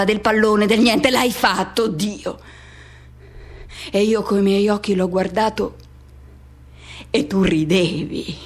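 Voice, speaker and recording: A woman's voice: mid-range voice, natural voice. An actress playing a man who recalls his first teenage love in a radio theatre monologue, in fragmented, repetitive, poetic phrases. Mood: intimate, yearning.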